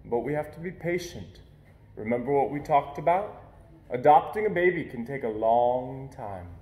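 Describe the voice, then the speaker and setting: A man voicing a gentle, patient father in a storybook, with a calm, reassuring delivery. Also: mid-range voice, normal speed, roomy sound, natural voice.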